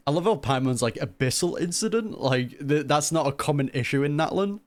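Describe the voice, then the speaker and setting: A woman's voice: deep voice, animated, brisk, no room sound. A woman streamer giving casual, slangy, teasing reaction commentary over a video game. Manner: laughs often, teasing, slangy. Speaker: gaming streamer reacting to a story quest.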